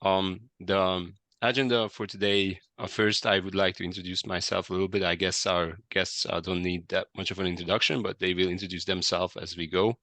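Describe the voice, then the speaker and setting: A man's voice: sits low, little pitch movement, brisk, hardly any pauses, pushed and bright, dry recording. A man hosting a webinar, introducing the session with many hesitant ums. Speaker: webinar host, app co-founder. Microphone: video call.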